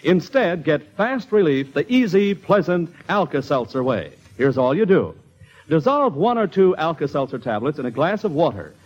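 A man's voice: a little high, big pitch swings, moderate pace, darkish tone, lo-fi sound. A man reading a 1940s radio advertisement in a polished, persuasive way, on an old broadcast recording. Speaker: radio commercial announcer.

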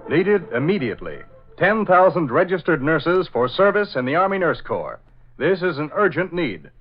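A man announcing and narrating in a formal, emphatic, scripted 1940s radio style. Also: fairly high pitch. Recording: dull vintage radio recording.

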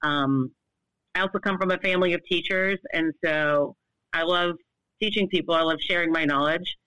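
A woman in her fifties, a longtime bartender and brand ambassador, answering interview questions in a loud, well-projected, animated voice. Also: lowish voice, moderate pace, no room sound, low-quality recording. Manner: animated, laughs often.

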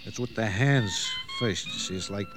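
An actor playing a broken, pleading down-and-out drunk in a radio drama, halting and rambling, repeating himself. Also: male voice, mid-range voice, wildly swooping pitch, fairly bright tone, faint music behind. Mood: wistful, rambling. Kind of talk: old-time radio drama acting.

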